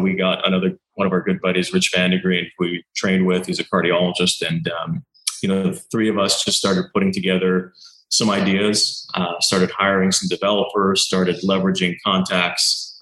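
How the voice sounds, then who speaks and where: A doctor and founder in his thirties, telling stories in an animated way and acting out quoted exchanges, with lots of "you know" fillers. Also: low voice, normal speed, echoey room, rough recording. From Canada. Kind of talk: conversational interview answers.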